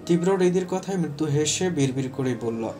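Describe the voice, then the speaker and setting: A man's voice: on the high side, expressive, moderate pace, dark tone, soft background music. A man narrating a scripted romantic story as its storyteller.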